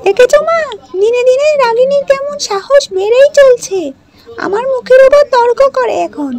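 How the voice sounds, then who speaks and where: A shrill, jealous young stepsister from a cartoon, whining and tattling in a very high, swooping voice, as a woman puts her on. She sounds loud and piercing, always complaining to her mother. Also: lively intonation, moderate pace. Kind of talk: cartoon voice acting.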